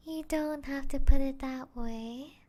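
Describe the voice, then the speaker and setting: A woman voicing a seductive, teasing female vampire in an intimate ASMR roleplay, breathy and often close to a whisper. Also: sits high, warm tone. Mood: teasing, possessive.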